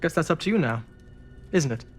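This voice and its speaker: Cocky, theatrical dealer over music. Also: male voice, on the high side, expressive, fairly quick, slightly rough. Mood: smug, impatient. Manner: smug, wordy, showy. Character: cocky, theatrical, hard-drinking male dealer and negotiator. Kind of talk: cinematic video game acting. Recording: music under the voice.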